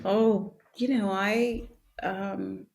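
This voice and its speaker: A woman's voice: mid-range voice, steady tone, extremely slow, creaky, a little mellow. A middle-aged woman speaking as an interview guest, reflective and laughing often, with many restarts. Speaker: guest, actress and author. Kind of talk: conversational interview.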